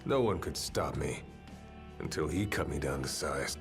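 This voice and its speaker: An actor playing a seasoned middle-aged warrior who speaks calmly and drily. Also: male voice, very deep voice, moderate pace, soft background music. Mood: wry. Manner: dry, calm and reflective.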